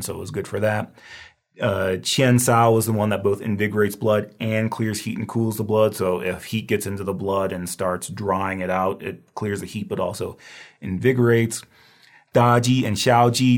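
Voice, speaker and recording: A man with a scratchy voice that is giving out, teaching a review lesson in a loose, rambling way with lots of fillers. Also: on the low side. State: losing his voice, scratchy. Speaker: herbal medicine instructor. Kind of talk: casual lecture review.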